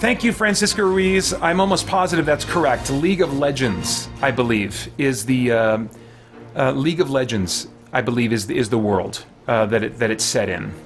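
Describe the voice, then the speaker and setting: A man's voice: medium pitch, moderate pace. A man in his thirties or forties doing casual, rambling game commentary, thinking aloud and correcting himself as he goes. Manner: rambling, self-correcting, chatty. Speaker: live-stream gaming host. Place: small home room, indoors. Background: game music.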